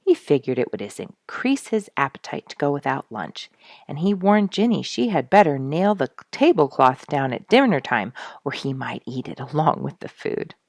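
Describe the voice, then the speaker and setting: Woman, children's story narrator, low pitch, very lively intonation, creaky edge, deliberate delivery. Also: no echo, studio quality. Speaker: storyteller reading a children's book aloud. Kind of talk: expressive scripted narration.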